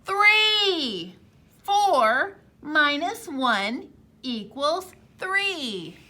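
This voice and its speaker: Bright, lively teacher reading aloud. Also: female voice, high voice, drawn-out words. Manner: animated, exclamatory storytime delivery. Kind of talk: reading a picture book aloud to children.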